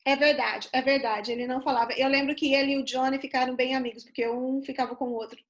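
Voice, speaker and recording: A woman's voice: sits high, steady tone, quick pace. A woman from Brazil hosting a casual video-call chat, asking her guests questions as she reminisces. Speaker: host. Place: home in London.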